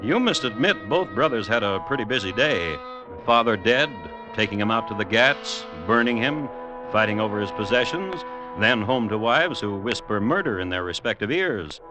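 Wry low-voiced male radio narrator. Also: animated, moderate pace, rough recording. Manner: wry, conversational. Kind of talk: old-time radio storytelling. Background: music.

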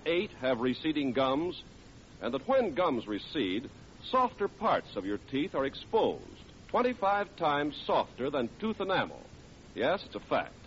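A man working as a 1940s radio announcer, reading scripted narration and advertising with polished, emphatic salesmanship. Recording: dull, noisy old radio recording with rumble.

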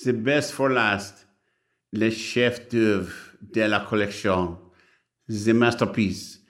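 An actor playing a gentle elderly stamp dealer in a radio drama, with a savoring, deliberate delivery. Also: male voice, lowish voice, steady tone. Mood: reverent.

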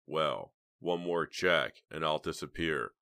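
Man playing a calm, smug, very deep-voiced blackmailer. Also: gravelly, clear, resonant tone, no room sound, studio quality, full character voice. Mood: calm, menacing. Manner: slow, unruffled, needling.

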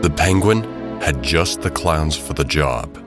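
Deep-voiced male storyteller over music. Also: unhurried. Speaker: narrator. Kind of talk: dramatic scripted storytelling narration. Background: music bed.

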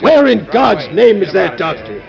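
Protective American official, formal, dramatic radio acting, wide inflection, gravelly, muffled vintage recording. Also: male voice, sits high, big pitch swings, normal speed, full character voice. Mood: urgent, alarmed. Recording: dull vintage radio, crowd noise.

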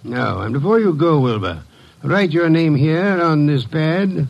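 An actor playing a shrewd, courtly detective boss in an old radio drama, speaking in formal, precise, deliberate phrases. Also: male voice, fairly high pitch, animated, gravelly, muffled recording, heavily acted. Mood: courteous, probing.

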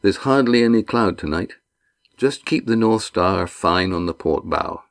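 A male narrator voicing a calm, experienced ship's navigator giving matter-of-fact instructions. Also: sits low, unhurried.

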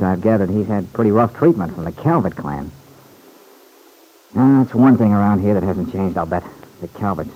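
A man's voice: low-pitched, warm tone, slightly rough, poor audio. An actor playing an earnest, loyal young man home from war in an old-time radio serial. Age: young adult. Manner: earnest, colloquial, reflective.

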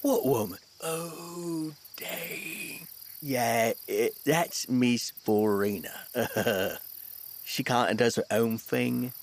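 A man's voice: mid-range voice, extremely slow, slight background noise. A man playing a laid-back, cowardly blue selkie in a fantasy comedy audio drama, slangy and hesitant. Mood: nervous, evasive. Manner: slangy, hesitant, says bruv.

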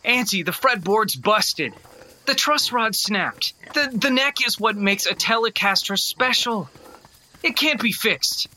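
Bright-voiced, hot-headed country boy. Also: mid-range voice, expressive, measured pace. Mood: bitter, defeated. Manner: stammering, impassioned. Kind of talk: audio drama acting.

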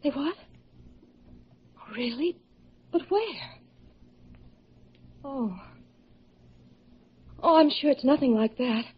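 A woman's voice: high voice, slow pace, long pauses. A woman acting a worried, helpful young woman in a classic radio drama. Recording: muffled old radio recording.

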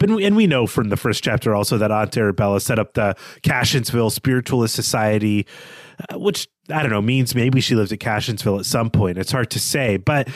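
A man's voice: medium pitch, no room sound. Wry analytical man, dry close sound. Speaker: podcast co-host. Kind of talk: literary discussion.

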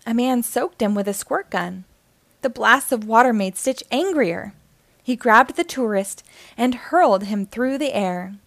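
A woman's voice: fairly high pitch, creaky, no room sound. A woman reading a children's story aloud as scripted narration.

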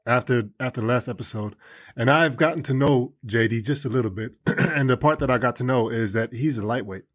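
A man from Germany with a middle-pitched voice joins the podcast chat, teasing his friends and bragging. His talk is relaxed and unhurried. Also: no room sound, clean studio sound. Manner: teasing, boastful. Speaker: podcast co-host. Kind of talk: casual chat.

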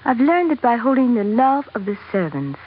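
A woman's voice: a little high, big pitch swings, moderate pace, breathy edge. A woman playing a devoted, educated young sultana with an earnest manner in an old radio drama. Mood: gracious, composed. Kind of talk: 1940s radio drama acting. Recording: dull, noisy old radio recording.

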